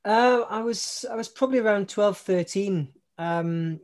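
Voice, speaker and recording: A man's voice: high-pitched, unhurried. Chatty man, laid-back. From Newcastle upon Tyne, England. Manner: relaxed, laughs often, many ums and restarts. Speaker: singer-songwriter guest. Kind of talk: casual interview chat.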